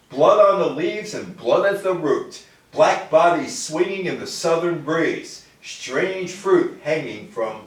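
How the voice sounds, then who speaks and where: A man giving a public talk from notes in a halting manner, with asides and rhetorical questions. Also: fairly high pitch. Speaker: lecturer. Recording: very echoey room, distant microphone.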